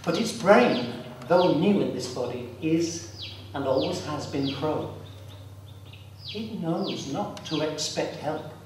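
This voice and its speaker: A man's voice: a little high, animated, slow. Male narrator in a very echoey theatre. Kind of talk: scripted dramatic story narration. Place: theatre, live performance recorded from a distance. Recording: very echoey hall, distant, loud background noise.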